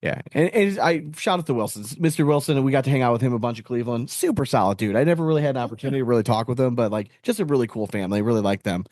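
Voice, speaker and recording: A man's voice: mid-range voice, lively intonation, very fast, hardly any pauses, darkish tone, no room sound, clean studio sound. A man co-hosting a podcast, talking casually and analytically about sport in a blunt, profane, slangy way. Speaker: podcast co-host.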